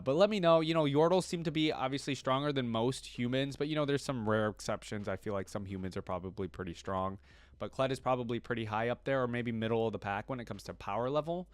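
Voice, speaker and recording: A man's voice: lowish voice, clean tone, no room sound. A man hosting a reaction video, talking casually and thinking aloud in a rambling way, often checking himself with a questioning tag. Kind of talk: casual reaction commentary to camera.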